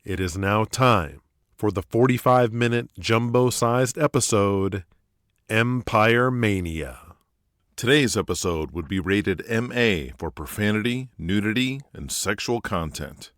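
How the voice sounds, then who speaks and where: A man's voice: lowish voice, animated, measured pace, fairly bright tone, dry recording, clean studio sound. A man narrating an audio drama from a script in a dramatic style.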